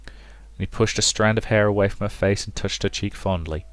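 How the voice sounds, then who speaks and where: A man's voice: fairly low pitch, steady tone, normal speed, no echo. A man hosting a game playthrough, reading visual novel narration aloud and adding his own commentary. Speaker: let's player.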